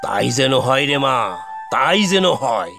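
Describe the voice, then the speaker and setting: A man's voice: medium pitch, sing-song, measured pace, gravelly. A man voicing a kindly, encouraging older father-in-law in a family cartoon, coaxing and reassuring. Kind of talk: animated family cartoon dialogue. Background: soft background music.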